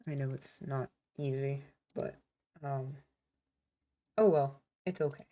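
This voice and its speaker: A woman's voice: rumbling low, slow, warm tone. A woman streamer giving casual gameplay commentary, rambling and talking to herself with lots of fillers. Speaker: small streamer.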